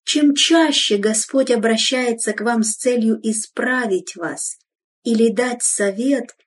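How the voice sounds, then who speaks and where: A woman giving a solemn, measured devotional reading in an exhorting tone, heard from some distance from the microphone. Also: on the high side, breathy edge. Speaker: reader of devotional text.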